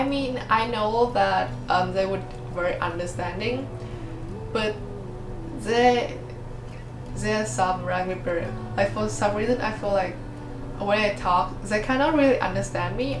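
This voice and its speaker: A woman from Vietnam with as an answers in careful, hesitant English full of "like" and "um". Her pitch is ordinary for a woman. The sound is roomy, with music playing underneath. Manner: hesitant, many "like" and "um" fillers. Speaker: international student interviewee. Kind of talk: interview answers.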